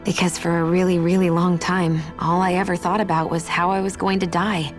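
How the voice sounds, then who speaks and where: A female actor plays a guarded young heroine from a game drama. Her voice is on the low side, and she speaks in a reflective, earnest way, with music underneath. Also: flat delivery, brisk, close to an everyday voice. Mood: somber, reflective. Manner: thoughtful, earnest. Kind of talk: dramatic video game cutscene acting. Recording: music playing behind the voice.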